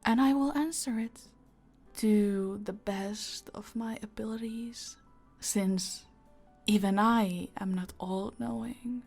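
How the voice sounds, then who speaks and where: A woman playing a calm, dominant female mafia boss in an audio roleplay, teasing and deliberate, often slipping into a breathy whisper. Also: a little high. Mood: teasing, controlled. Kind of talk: roleplay audio acting, intimate and slow. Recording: music behind the voice.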